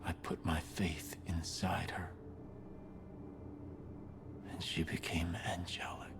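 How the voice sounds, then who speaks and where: A man playing a soft-spoken, grieving, menacing cult leader in a game, preaching slowly and solemnly in a breathy hush. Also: deep voice, level tone, whispered. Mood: solemn, mournful. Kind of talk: slow solemn sermon-like monologue.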